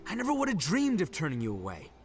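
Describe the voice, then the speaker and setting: A man's voice: a little high, wildly swooping pitch, fast talker. An actor playing a brash, boastful young male oni gang leader who laughs often and talks in slang, in a video game. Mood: earnest. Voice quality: loud, rowdy and cheerful. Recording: game audio with music behind.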